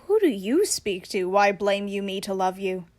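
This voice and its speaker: A woman acting a commanding heroine disguised as a young shepherd in a Shakespearean radio play, speaking in measured, emphatic declamation. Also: medium pitch, sing-song, darkish tone, lightly acted. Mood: exasperated.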